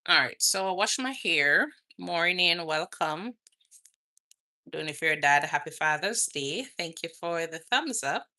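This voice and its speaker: Jamaican woman hosting a live chat outdoors, lowish pitch, bright tone, friendly and chatty. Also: expressive, a little slow. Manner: chatty, greets viewers by name. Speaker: vlogger and nurse. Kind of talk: casual livestream Q&A.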